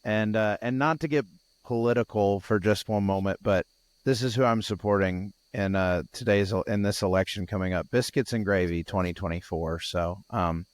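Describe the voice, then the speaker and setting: A tired man co-hosts a casual podcast in a fairly low, groggy voice that sounds scratchy and worn. He rambles and keeps restarting his sentences. The sound is close and dry, with no room around it. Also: moderate pace, no room sound. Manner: rambling, with frequent restarts and fillers. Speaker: podcast co-host. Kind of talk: casual chat.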